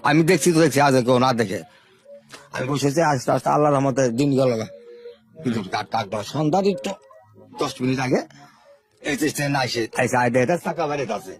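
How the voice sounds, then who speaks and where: Fast-talking older man. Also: medium pitch, faint music behind. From Bangladesh, Sherpur. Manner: unhurried rustic storytelling, repeating words. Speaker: interview guest. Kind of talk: telling his life story in an interview.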